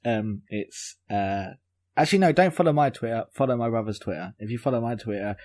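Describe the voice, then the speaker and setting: A man's voice: mid-range voice, a little fast, darkish tone. A man chatting casually as a podcast panelist, a bit hesitant and rambling, with a joking manner. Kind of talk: casual group chat.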